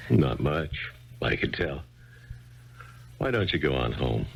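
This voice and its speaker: Casting: a blunt bartender, low gravelly husky voice, plain-spoken, old radio-drama sound. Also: male voice, very animated, takes it slowly, heavily acted. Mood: probing. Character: blunt, observant middle-aged bartender. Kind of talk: 1970s radio drama acting. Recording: dull 1970s radio broadcast.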